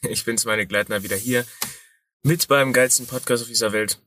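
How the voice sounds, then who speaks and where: A man's voice: medium pitch, steady tone, moderate pace, pushed and bright, a little hiss or hum. A man co-hosting a podcast, rambling in casual, crude banter while he has a cold. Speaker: podcast co-host.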